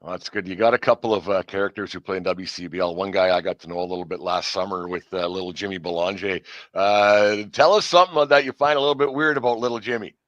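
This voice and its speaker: Low-voiced man hosting a casual sports podcast. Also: quick pace, fairly bright tone, highs cut off. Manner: folksy, rambling, repeats words while thinking. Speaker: podcast host, interviewer.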